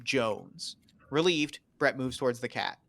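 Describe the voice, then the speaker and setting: A man's voice: medium pitch, animated, unhurried, vocal fry, fairly bright tone. A man narrating stage directions at a script table read in terse, clipped, dramatic phrases.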